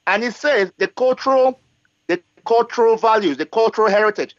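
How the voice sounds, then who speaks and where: A man's voice: high voice, a little fast, no echo, muffled recording. A man in his forties from Africa, speaking in a live discussion with emphasis and frequent checks of "okay" and "yes". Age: 40s. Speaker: guest speaker.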